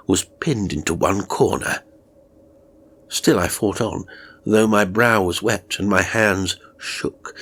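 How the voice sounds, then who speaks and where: A man narrating a horror story in a measured, suspenseful and theatrical way. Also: low-pitched, a bit bright, husky. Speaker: audiobook narrator.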